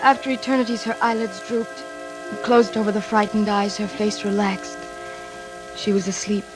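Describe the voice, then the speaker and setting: A woman's voice: mid-range voice, flat delivery, moderate pace, close to an everyday voice, low-quality recording. Woman acting an anxious, dutiful daughter over music. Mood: grave narrating. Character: anxious, dutiful librarian daughter, soothing yet defiant. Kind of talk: 1940s radio acting. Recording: vintage radio recording.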